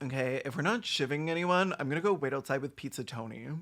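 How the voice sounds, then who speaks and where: Give a snarky online host with a mid-range voice. The delivery is sarcastic and dry, with crude comic asides, as commentary on a video. Soft music plays behind. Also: mid-range voice, expressive, quick pace. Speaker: host of a reaction video show.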